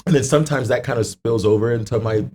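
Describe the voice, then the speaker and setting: A man's voice: medium pitch, fast, heavy background noise. An American man in casual podcast conversation, rambling and repeating words for emphasis as he goes. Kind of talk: casual chat.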